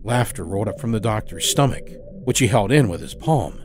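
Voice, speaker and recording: A man telling a scripted first-person horror story as storyteller, dramatic and expressive. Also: fairly low pitch, a little fast, music in the background. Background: musical score.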